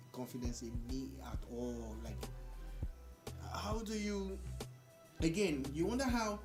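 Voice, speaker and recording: A radio co-host who argues the point in an animated, exasperated way, with the voice leaping up for emphasis. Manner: animated and exasperated, pitch leaps up when making a point. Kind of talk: news analysis chat.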